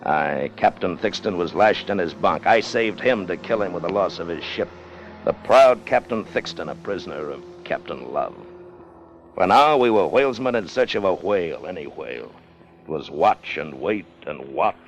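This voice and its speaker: A commanding, gold-hungry ship's officer in an old radio play. His voice sits low and speaks with hard, dramatic authority. The 1950s recording carries a faint layer of noise. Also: expressive, normal speed, highs cut off. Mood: grim narration. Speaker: lead character and narrator.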